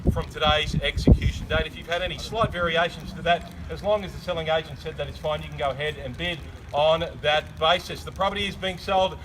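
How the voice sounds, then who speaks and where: An Australian man working as an auctioneer, delivering a loud, projecting, formal sales spiel outdoors on a suburban street. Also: a little high, brisk, hardly any pauses, noisy background.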